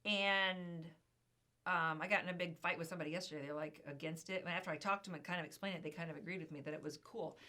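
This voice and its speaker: Chatty hoarse woman, bright voice. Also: lowish voice, fairly even intonation, fast. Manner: chatty, emphatic. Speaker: co-host of insurance news show. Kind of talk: casual chat.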